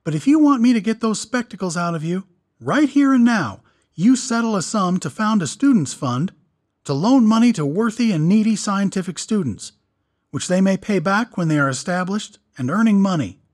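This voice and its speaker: A man's voice: high voice, animated, moderate pace, a little mellow, voice pushed up. Man putting on a sly, purring doctor. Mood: firm. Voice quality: purring, wry. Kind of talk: audiobook character voice.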